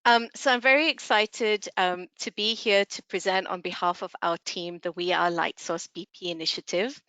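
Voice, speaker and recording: A woman's voice: lowish voice, steady tone, normal speed, bright, forceful tone, clear, resonant tone, dry recording. A woman from Malaysia, a communications head, giving an upbeat, friendly webinar presentation over a video call. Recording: dull video call audio, dry.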